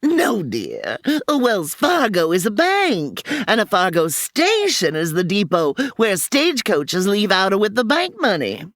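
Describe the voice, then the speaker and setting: A woman's voice: medium pitch, wildly swooping pitch, measured pace, few pauses, no echo, clean studio sound. A woman voicing a motherly older Old West landlady in a comedy audio drama, with a homespun drawl and a fussing manner.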